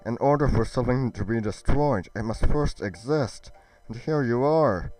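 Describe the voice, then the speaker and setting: A man's voice: mid-range voice, normal speed, darkish tone, recorded from a distance, faint music behind, lightly acted, low-quality recording. A man playing a devoted young guard, earnest and formal, with a reverent way of talking. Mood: awed, earnest.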